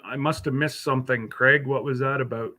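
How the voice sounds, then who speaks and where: A man's voice: mid-range voice, steady tone, brisk, bright, forceful tone. A man hosting a casual live stream, rambling along as he answers viewers by name, with many fillers and restarts. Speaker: livestream host.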